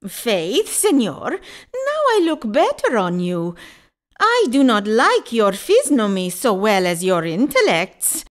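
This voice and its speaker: A woman's voice: a little high, wildly swooping pitch, slow, full character voice. A woman playing a shrewd, haughty, husband-hunting governess in a classical audio farce, with mannered, archaic phrasing. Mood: scornful. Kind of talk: classical stage farce acting in an audio play.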